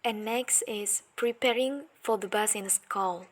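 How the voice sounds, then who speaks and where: A young woman reading a scripted student presentation in a deliberate, step-by-step way. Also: medium pitch, a little hiss or hum. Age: young adult. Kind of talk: scripted lesson presentation, read aloud.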